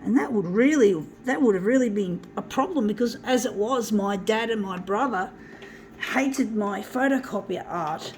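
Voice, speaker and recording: A woman giving a rambling, earnest personal monologue, halting and repeating herself as she addresses her listeners. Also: medium pitch, lively intonation, a little mellow. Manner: halting, repetitive, often addressing listeners as folks. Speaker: presenter of a personal video. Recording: noisy amateur recording with background ambience.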